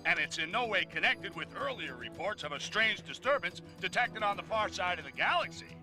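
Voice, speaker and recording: A man's voice: high voice, sing-song, brisk, bright, forceful tone, soft background music, exaggerated voice, low-quality recording. A man voicing a cranky, blustering newspaper boss who rants self-importantly as a webcast host in a video game. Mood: brash, self-important. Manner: brash, ranting, self-important. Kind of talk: comic news rant in video game.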